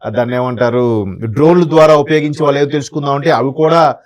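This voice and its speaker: A man's voice: medium pitch, fairly even intonation, fast talker. A man speaking as a news analyst in an interview, emphatic and colloquial, with sayings and rhetorical questions. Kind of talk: conversational news commentary in an interview.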